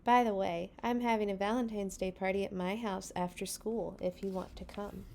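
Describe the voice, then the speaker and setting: A woman's voice: medium pitch, fairly even intonation, moderate pace, creaky, darkish tone, lightly acted. A woman voicing a friendly, earnest young girl cat from a storybook, with an eager, insistent way of talking.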